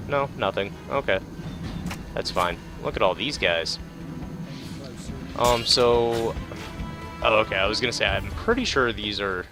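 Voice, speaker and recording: A man doing casual, laid-back game commentary, thinking aloud and reading tutorial text. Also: lowish voice. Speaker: let's play host. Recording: game music behind. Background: game music.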